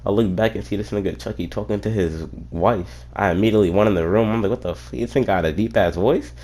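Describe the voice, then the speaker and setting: Casting: young man, low voice, streamer telling a casual story, rambling and profane, lots of filler. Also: fast talker, darkish tone. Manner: rambling, swears freely, frequent "what you call it" fillers.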